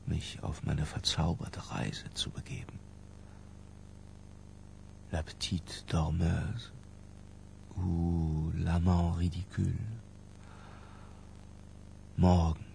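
An actor playing an obsessive, tense middle-aged man who narrates his confession in a deliberate, literary radio drama style. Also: low-pitched, vocal fry, a little mellow, slight background noise, exaggerated voice.

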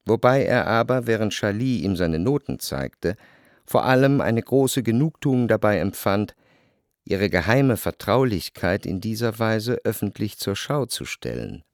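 First-person narrator, observant salon guest, fairly low voice, literary radio play. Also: male voice, expressive, a little slow, no room sound, clean studio sound. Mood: observant, composed.

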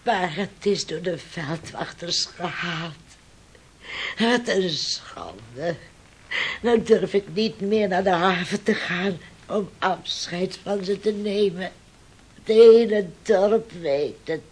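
A woman's voice: on the low side, takes it slowly, pauses often, darkish tone, husky. A woman playing an anxious, careworn old fisherman's mother in a radio play, plaintive and sighing. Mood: ashamed, grieving. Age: older.

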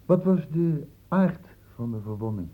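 An actor playing a stern, probing doctor in a Dutch radio drama, with measured, clinically precise questioning. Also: male voice, a little high, animated. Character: probing, stern male doctor, calmly cornering a suspect. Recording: muffled phone-like old radio recording.

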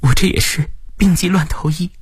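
A man's voice: sits high, steady tone, normal speed, slightly rough, no room sound, clean studio sound, pitched above the performer's own voice. A man voicing a devoted, anxious companion in an audiobook, pleading and heartfelt. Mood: relieved, earnest.

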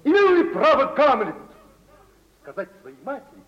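An actor playing a suave, proud gentleman and reproachful former lover in a Russian radio play, with theatrical, declamatory delivery. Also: very high voice, wildly swooping pitch, normal speed, full character voice. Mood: probing. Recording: muffled, noisy 1950s radio play recording.

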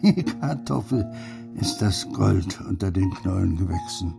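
A man's voice: low voice, animated, a little slow, music in the background, heavily acted. An actor playing a wise, earthy old grandfather in a radio play, musing and instructing. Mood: fond lecturing. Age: older. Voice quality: gravelly, mellow.

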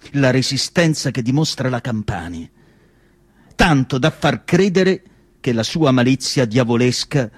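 A man playing a reflective, melancholy asylum doctor in a radio theatre monologue, speaking in a measured, literary way with deliberate pauses. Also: medium pitch, no echo, natural voice. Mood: solemn, contemplative. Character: reflective asylum doctor narrating his patients, melancholy and devoted. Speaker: actor reading as narrator.

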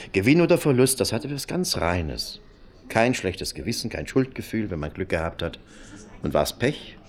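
An actor in a German radio play, playing a courteous, wistful, out-of-work company man in his fifties who speaks formally and hesitantly. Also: fairly low pitch.

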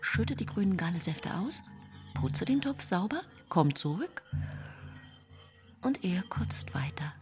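An actress playing a seemingly pious, gentle yet secretly cold 19th-century housewife, confiding her story in a measured radio drama monologue. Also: lowish voice, sing-song. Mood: plaintive. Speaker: actress narrating in a radio play. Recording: music bed behind studio voice.

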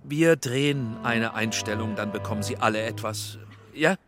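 An actor playing a middle-aged film director in a German radio drama, instructing with emphasis and patience. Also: male voice, on the low side, moderate pace, music in the background, natural voice. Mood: instructing, patient. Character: weary, accommodating middle-aged film director coaching extras.

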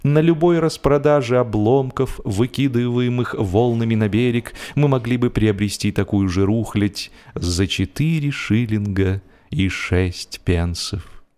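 A man narrating a humorous literary classic in an expressive, theatrical and wry way, with deliberate phrasing. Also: mid-range voice. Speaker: audiobook narrator and actor. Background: music.

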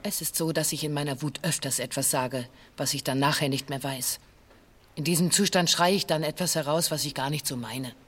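Ein Schauspieler spielt in einem Hörspiel einen steifen, sich verteidigenden Verdächtigen, der förmlich und gestelzt im Verhör aussagt. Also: male voice, on the high side, fairly even intonation, fairly quick, fairly bright tone. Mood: stiff, matter-of-fact. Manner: formal, stilted wording. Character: male criminal suspect under interrogation, stiff, defensive. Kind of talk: radio play, recited statement of interrogation record.

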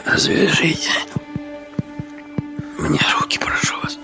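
An actor playing a middle-aged rival in a Russian radio drama, servile yet menacing, with a sly manner that often drops to a breathy hiss. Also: male voice, slow pace, lightly acted. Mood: desperate. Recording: radio play with music underneath.

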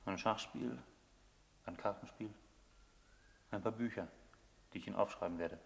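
An actor playing a laconic, proud, enigmatic smuggler in a German radio play, speaking in terse and measured lines. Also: male voice, on the low side, fairly even intonation, gravelly. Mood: guarded.

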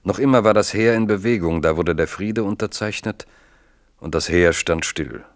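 A man narrating a 1970s radio drama in a grave, measured storytelling voice. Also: low voice, no echo, studio quality.

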